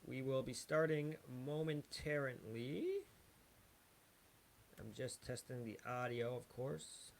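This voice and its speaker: A man's voice: mid-range voice, fairly even intonation, slow pace, gravelly, a little hiss or hum. Chatty man hosting a livestream. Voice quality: chatty and loose, hyping the guest. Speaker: livestream host. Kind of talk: casual pre-show livestream chatter.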